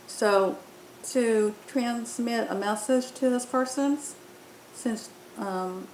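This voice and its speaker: Echoey woman giving a step-by-step software tutorial. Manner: hesitant, explanatory, step-by-step. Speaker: app demo presenter. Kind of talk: unscripted software tutorial narration. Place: indoor room, voice-over on screen recording. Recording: roomy echo, faint rumbling noise.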